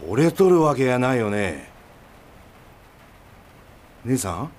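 An actor playing an exasperated younger brother in a family business, blunt and nagging, with a Mikawa Aichi dialect, in a radio drama. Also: male voice, medium pitch, very animated, slow pace. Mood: suspicious, pressing.

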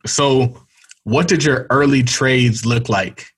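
A man's voice: medium pitch, takes it slowly, husky. A man interviewing a guest in a laid-back way, asking short questions. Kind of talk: casual interview.

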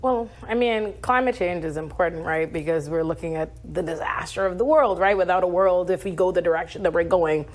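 A woman's voice: on the low side, fairly quick, hardly any pauses. A woman from the Bahamas speaking conversationally and diplomatically, a little tentative, as a panelist on a talk show. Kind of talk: conversational discussion.

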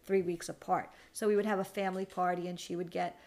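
A middle-aged woman, a mother, chatting as a podcast guest with a raspy voice after losing it, reminiscing fondly. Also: on the low side, little pitch movement, fast, a little mellow. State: raspy, recovering lost voice. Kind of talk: casual chat.

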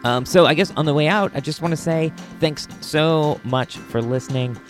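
A man's voice: a little high, moderate pace, soft background music. An American man hosting a podcast solo, chatting informally and enthusiastically. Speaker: podcast host. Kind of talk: casual solo podcast chat.

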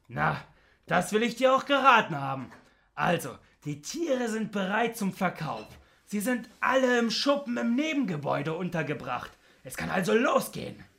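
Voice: mid-range voice, big pitch swings, normal speed, vocal fry, fairly bright tone. An actor playing a scornful, boastful ringleader of animal thieves in a children's audio drama. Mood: threatening, bossy. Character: gloating, bossy adult animal thief, ringleader.

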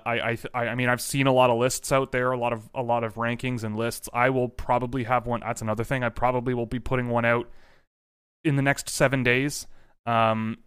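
A man's voice: medium pitch, a bit bright, smooth, no room sound, studio quality. Rambling young man hosting a hockey stream. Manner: rambling, many fillers and restarts. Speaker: hockey prospect livestream host. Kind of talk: casual livestream chat.

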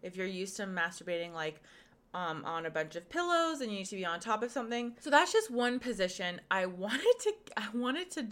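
A woman's voice: medium pitch, expressive, fairly quick, fairly bright tone. A woman hosting a casual podcast monologue, speaking frankly with many fillers while she is losing her voice. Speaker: podcast host and pleasure coach.

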